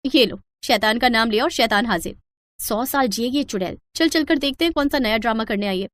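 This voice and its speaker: A woman's voice: fairly high pitch, fairly quick, fairly bright tone, no echo, studio quality, natural voice. A woman voicing gossipy young daughters-in-law, sarcastic and conspiratorial, in a moral story. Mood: scheming. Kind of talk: acted moral-story dialogue.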